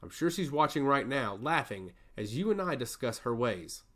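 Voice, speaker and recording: A man's voice: medium pitch, very animated, moderate pace. A man voicing a passionate god of chaos who loves humanity, speaking in earnest, emphatic monologue in a fantasy story. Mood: frustrated. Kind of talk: fantasy storybook character dialogue.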